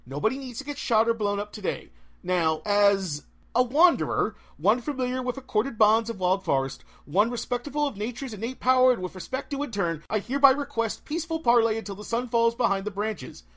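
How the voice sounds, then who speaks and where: A man's voice: high voice, animated, a little fast, sharp tone, voice pushed up. A man voicing an exasperated, bossy young woman witch in an audiobook, emphatic and irritated. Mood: agitated, pleading. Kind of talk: audiobook character voice.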